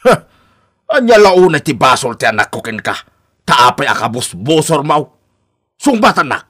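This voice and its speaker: An indignant in-law father in an Ilocano radio drama. His medium-pitched voice is bright and forceful, stern and challenging as he stands up for his family. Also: big pitch swings, moderate pace. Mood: confrontational, stern.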